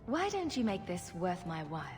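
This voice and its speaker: A woman playing a sarcastic, quick-witted rogue adventurer with a flippant manner in a video game. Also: lowish voice, sing-song, a little mellow, soft background music. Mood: firm, sardonic. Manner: wry, flippant. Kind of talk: video game dialogue acting.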